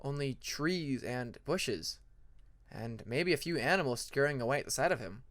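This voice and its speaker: A man's voice: medium pitch, animated, moderate pace, a bit bright, no room sound. A man narrating scripted fiction as an audiobook reader, with a dramatic storytelling manner.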